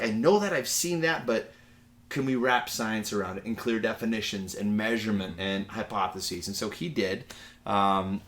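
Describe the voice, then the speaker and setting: A man's voice: on the low side, a bit bright. A man with an American accent giving a casual, digressive lecture in a conversational, anecdotal way, like a university teacher.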